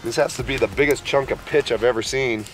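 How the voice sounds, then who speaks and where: A man in his thirties vlogging at an outdoor campsite, talking in a slow, worn-out, easygoing way after being sick. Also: mid-range voice, fairly even intonation, music in the background. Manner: laid-back, tired, unhurried with many fillers. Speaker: camping vlogger. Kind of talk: casual camp vlog talk to camera. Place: outdoor pine forest campsite.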